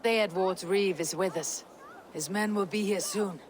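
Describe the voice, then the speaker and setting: A woman playing a stern, resolute Viking raider leader in a game, speaking in a blunt, measured, commanding way. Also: medium pitch, a little hiss or hum, natural voice.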